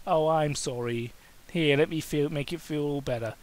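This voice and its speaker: A man's voice: a little high, normal speed, a little mellow, natural voice. A man voicing a big, tall masked man, smug and sarcastic, coaxing his partner in an amateur story reading. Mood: cooing. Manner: sarcastic, cooing, suggestive.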